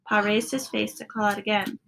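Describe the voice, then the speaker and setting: A woman reading a children's story aloud as a narrator, sometimes dropping into a hushed tone at the ends of lines. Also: medium pitch, little pitch movement, brisk, creaky, slight background noise, low-quality recording. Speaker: storybook reader.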